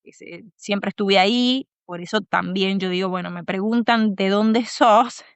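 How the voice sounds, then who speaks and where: A woman from the Dominican Republic, a guest in an interview, talking thoughtfully and pausing to find her words. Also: mid-range voice, smooth, no room sound, highs cut off. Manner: thoughtful, pauses to search for words. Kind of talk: conversational interview.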